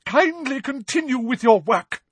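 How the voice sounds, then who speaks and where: A man voicing a hysterical, theatrical woman seer and teacher, high-pitched and wavering, full of wounded indignation in a storybook reading. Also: animated, fairly bright tone, breathy edge, dry recording, full character voice. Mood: furious. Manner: melodramatic, drawn-out, throbbing with emotion.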